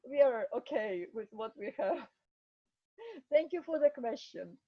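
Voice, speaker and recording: Ukrainian woman, slow and hesitant speech, higher voice, dry dull video call sound. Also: animated, no echo. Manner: hesitant, many fillers and soft laughs. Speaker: guest speaker.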